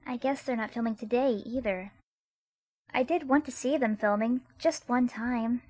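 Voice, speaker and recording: A woman's voice: on the high side, normal speed, a little mellow, lightly acted. A woman voicing a perky, curious teenage girl sidekick in an amateur game fandub. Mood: wistful. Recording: music faintly behind the voice.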